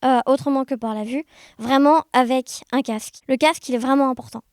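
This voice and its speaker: High-voiced woman in casual chat. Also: brisk, a little mellow, dry recording, studio quality. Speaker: interview guest, amateur audio fiction maker. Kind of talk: casual conversational interview.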